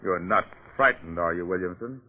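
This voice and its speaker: Husky, low-voiced police detective. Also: male voice. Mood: pressing. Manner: measured, questioning. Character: polite but persistent, probing male police detective. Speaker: actor. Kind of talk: 1940s radio acting. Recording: tinny old radio recording.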